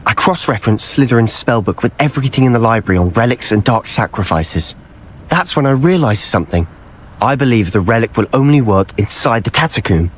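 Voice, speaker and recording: A man's voice: medium pitch, animated, brisk, slight background noise, natural voice. A young man playing an impatient, brooding teenage schoolboy wizard in a video game. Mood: scheming, determined.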